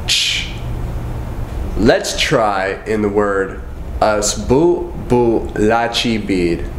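Calm young man teaching, roomy echo. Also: lowish voice, noisy background. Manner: calm, measured, repeats each phrase slowly. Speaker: language teacher. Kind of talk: slow, careful language lesson. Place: indoors, in front of a wooden wall.

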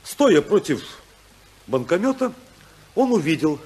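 An actor in a 1950s Soviet radio drama playing an outspoken, idealistic political rebel, husky and oratorical, with a sardonic edge. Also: male voice, fairly high pitch, sing-song, measured pace, a little mellow. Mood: wry, storytelling. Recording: 1950s radio play recording.

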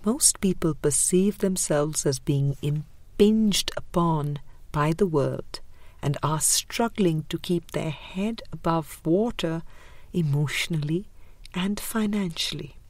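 A woman reading a spiritual book aloud as scripted narration, in a measured, deliberate way with pauses. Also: sits low, darkish tone, dry recording. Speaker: audiobook reader.

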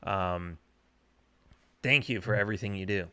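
A man's voice: on the low side, moderate pace, sharp tone, smooth, no echo. A man in his thirties hosting a casual interview over a video call from home, joking and teasing his guest. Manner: joking, teasing, laughs often. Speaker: podcast host. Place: home room. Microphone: video call with desk mic.

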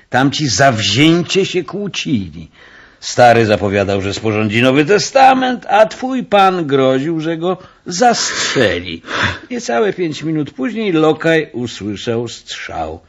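A man acting a brusque, self-assured police inspector in a radio play, commanding and condescending, with drawn-out emphasis. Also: mid-range voice.

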